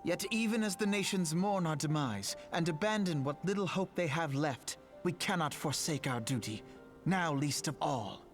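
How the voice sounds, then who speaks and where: A man's voice: a little high, expressive, normal speed, lightly acted. An actor playing an earnest, self-assured young nobleman in a fantasy game, speaking in formal, archaic phrases. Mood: grave, resolute. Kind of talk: theatrical fantasy game voice acting. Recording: music behind the voice.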